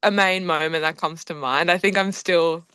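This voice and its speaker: A woman's voice: fairly low pitch, steady tone, normal speed, pushed and bright, clean tone, no echo. A seventeen-year-old girl who grew up in Australia with Hungarian as her first language, chatting upbeat in an interview and filling her answers with "like". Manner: upbeat, chatty, lots of "like" and "oh my goodness", laughs often. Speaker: basketball player, interview guest.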